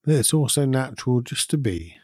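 A man leading a guided meditation in a calm, soothing, unhurried manner with long pauses between phrases. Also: medium pitch, husky, no echo, clean studio sound. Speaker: meditation guide and podcast host. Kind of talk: guided meditation, gentle instruction.

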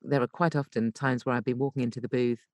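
A British woman talking conversationally as an interview guest, explaining things in an animated, emphatic way from a home voice booth. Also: extremely low pitch, quick pace, dry recording. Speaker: podcast guest, voice actor and coach.

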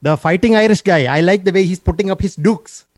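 A man's voice: high voice, a little fast, no echo, pitched above the performer's own voice. A man doing a playful, heavy Indian accent as a joking persona in an interview. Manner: playful, deadpan jokes. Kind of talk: comic interview.

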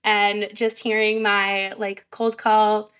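High-energy chatty woman podcast guest. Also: flat delivery, takes it slowly, fairly bright tone, clear, resonant tone. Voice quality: higher pitched voice. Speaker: sales representative guest. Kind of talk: casual podcast interview.

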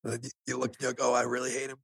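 Young man, quick-talking, rough husky voice, cocky teasing podcast co-host. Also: medium pitch, monotone, fairly bright tone. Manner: cocky, teasing, swears freely. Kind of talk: casual joking banter.

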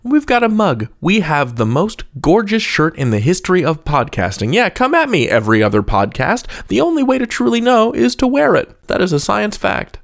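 A man's voice: sits high, very animated, normal speed, gravelly, few pauses. A man hosting a podcast from a script, playful, wry and a little theatrical. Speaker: podcast host.

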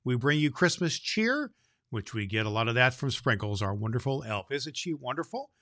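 A man's voice: mid-range voice, sing-song, moderate pace, fairly bright tone, no echo, clean studio sound. A man playing a kindly, cheerful Santa Claus, hosting a children's show and reading a storybook with fond, slightly stumbling delivery. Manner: stumbles and repeats words, addresses children fondly. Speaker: show host and story reader.

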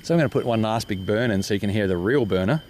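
A man's voice: on the low side, racing, very noisy. A man with an Australian accent giving a jokey, upbeat, casual report as a balloon pilot. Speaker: balloon pilot and podcast correspondent. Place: open balloon basket in flight, outdoors.

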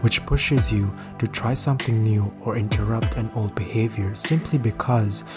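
A man reading a scripted, motivational podcast intro in a calm, measured way. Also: fairly low pitch. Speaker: podcast host. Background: music.

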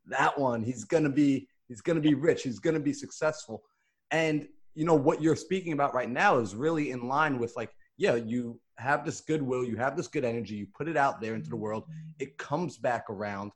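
A young man in his late twenties hosting a relaxed interview. He sounds laid-back, stringing together long, chatty questions with plenty of casual fillers. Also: medium pitch, normal speed. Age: 20s. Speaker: interview host.

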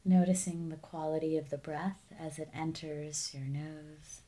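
A woman guides a meditation in a low-pitched voice. Her delivery is very slow and barely varies in tone. She stays calm and soothing, letting the ends of phrases fall soft. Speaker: meditation teacher.

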